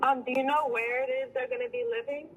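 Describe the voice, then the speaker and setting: A woman's voice: high-pitched, steady tone, quick pace. A woman chatting casually on the phone, polite, agreeable and unruffled. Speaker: property owner answering a phone call. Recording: noisy phone-call recording.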